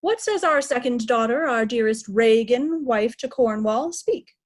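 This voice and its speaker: A woman's voice: fairly high pitch, lively intonation, unhurried. A woman playing an imperious aging king in a reading of Shakespeare, stately and commanding. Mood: pleased, commanding. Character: aging king, imperious, dividing his realm among daughters. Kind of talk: Shakespearean reader's theatre.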